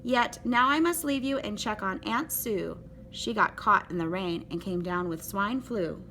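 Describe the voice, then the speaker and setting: A woman's voice: medium pitch, unhurried, sharp tone, a little hiss or hum. A woman reading as a friendly, polite male pig neighbour in a children's storybook. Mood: polite, secretive.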